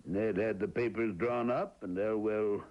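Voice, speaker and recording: A man's voice: fairly low pitch, fairly even intonation, unhurried. An actor playing an uneasy man who explains a business deal with hesitant 'well's and 'uh's in an old-time radio drama. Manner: hesitant, full of 'well' and 'uh'. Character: uneasy small-town man, hesitant and evasive. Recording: dull lo-fi old radio recording.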